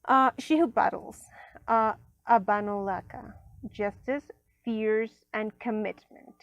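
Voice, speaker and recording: A woman whose first language is Spanish, casually reviewing an oracle deck at a table, chatty, with many fillers and soft near-whispered asides. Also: a little high, slow, no room sound, highs cut off. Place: indoors at a table.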